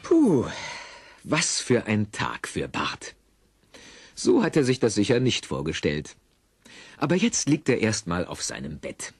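A man's voice: on the low side, huge pitch swings, a little slow. A man narrating a scripted audio play for children in a wry, teasing manner.

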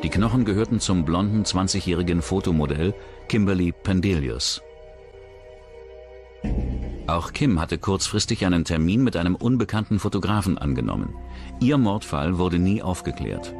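True-crime narrator: man with a low voice, scripted delivery over clear background music. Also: animated, moderate pace, darkish tone. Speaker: documentary narrator.

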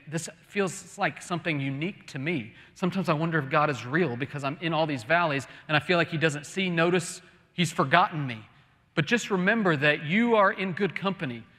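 A man preaches in a forceful, slightly high voice, ringing through a large church. He speaks deliberately, leaning on key words and pausing after questions. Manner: emphatic, deliberate, with rhetorical questions and pauses. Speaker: pastor preaching. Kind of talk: sermon. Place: large church sanctuary, on stage. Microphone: lapel mic. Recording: long reverb of a large hall.

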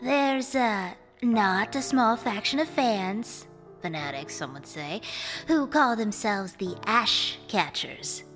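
A woman's voice: mid-range voice, lively intonation, fairly bright tone. Woman acting reverberant, thoughtful expert interviewee. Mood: rueful. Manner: hesitant, thoughtful pauses. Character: measured female academic expert, fondly rueful. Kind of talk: mock documentary interview. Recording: long reverberant hall sound. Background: music.

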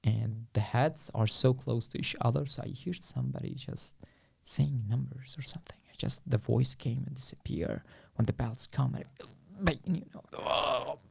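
A young man from Syria with an Arabic accent, speaking English as a second language, gives an earnest, halting personal account as a podcast guest in a small studio, often dropping to near-whispers. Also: medium pitch, gravelly, husky. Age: young adult. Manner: earnest, halting speech with frequent restarts, drops to near-whispers. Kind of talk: personal testimony in a podcast interview. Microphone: studio boom mic with headphones.

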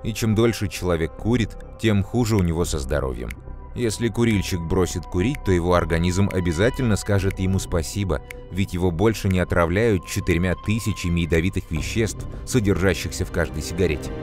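A man voicing a calm adult scientist who explains things to children in a measured, earnest way. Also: sits low, over music, close to an everyday voice. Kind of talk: scripted educational narration.

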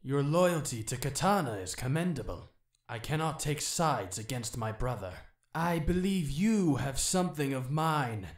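A man's voice: on the high side, echoey room. A man voicing a solemn, noble god of wind from a video game, grave and formal. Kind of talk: fan impression of video game deity.

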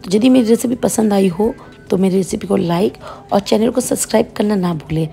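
A woman's voice: mid-range voice, fairly quick, vocal fry, mellow, soft background music. A woman hosting her cooking channel, patiently explaining each step of a home recipe.

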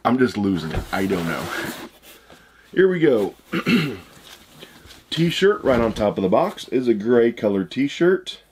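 A man in his thirties with a hoarse voice he says he is losing, chatting casually as he unboxes items at a desk indoors. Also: mid-range voice, very animated, slow, long pauses, noisy background. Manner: chatty, rambling, upbeat. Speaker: unboxing channel host. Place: room with shelves of shoe boxes, indoors.

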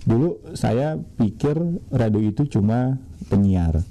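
A middle-aged man chatting casually as a guest in a small radio studio, relaxed and laughing often. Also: on the low side, unhurried. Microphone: studio boom mic.

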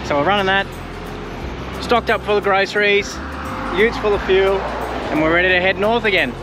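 A man's voice: sits high, fairly even intonation, moderate pace, over music. A man with an Australian accent, casually narrating a road-trip travel vlog outdoors in a laid-back, rambling way. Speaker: travel vlogger. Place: outdoors by a highway and camp.

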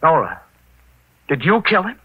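An actor playing a calm, suave married private detective, probing and soothing, in 1940s radio style on an old recording. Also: male voice, on the high side, measured pace, slightly rough, no room sound, narrow, tinny audio, exaggerated voice. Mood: brisk, investigating.

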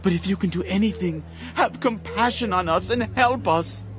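A man's voice: sits high, normal speed, background noise, lightly acted. An actor playing a desperate father in a dramatized audio Bible, pleading with anguished emotion. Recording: music under the voice.

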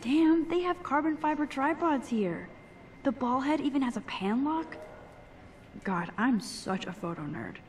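A woman's voice: sits high, expressive, measured pace, slight background noise. Understated, introspective teenage girl. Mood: impressed musing. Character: shy, self-deprecating teenage girl photography student. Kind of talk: inner monologue in a video game.

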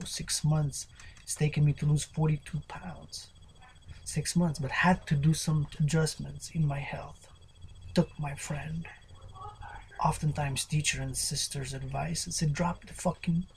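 A man's voice: fairly high pitch, gravelly, a little mellow, a little hiss or hum, low-quality recording. A man in his thirties doing an unscripted fitness vlog in a small bedroom, repeating slogans in a preachy way and drifting into whispers, recorded on a webcam. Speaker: fitness vlogger. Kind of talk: rambling unscripted workout talk. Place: small cluttered bedroom with tapestries. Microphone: webcam at desk distance.

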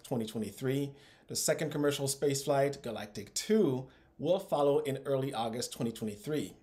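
A man in his thirties speaks to camera as the host of an investing channel. His pitch sits in a middle range, and his scripted delivery is upbeat, with emphatic phrasing. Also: a little mellow. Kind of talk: scripted video commentary to camera. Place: small room at home, indoors.

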